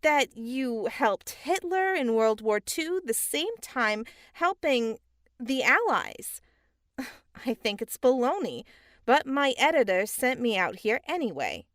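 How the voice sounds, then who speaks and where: A blunt, doubting female reporter, played by an actress, in a radio play. Her voice is high and very animated, with slow, measured pacing. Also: dry recording, clean studio sound, heavily acted. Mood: exasperated, doubting. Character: skeptical, blunt woman newspaper reporter. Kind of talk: audio drama acting.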